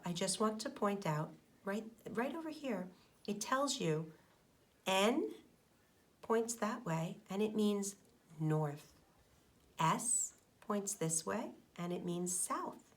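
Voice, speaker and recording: A middle-aged woman, a schoolteacher, reading a picture book aloud to young children and explaining it in simple, deliberate phrases with questions to the listeners. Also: mid-range voice, animated, a bit bright. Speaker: elementary school teacher.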